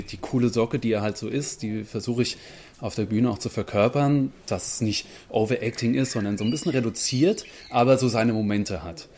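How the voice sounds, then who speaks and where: A man's voice: medium pitch, darkish tone. A man, a stage actor, talking casually as a guest in an interview.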